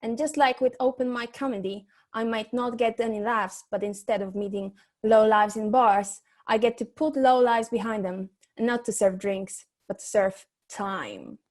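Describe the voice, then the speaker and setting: Woman acting a wry, higher-pitched detective's assistant. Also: steady tone, normal speed, few pauses, a little mellow, close to an everyday voice. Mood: wry, resolute. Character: loyal, wry female assistant to a private detective. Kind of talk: comic noir radio play monologue.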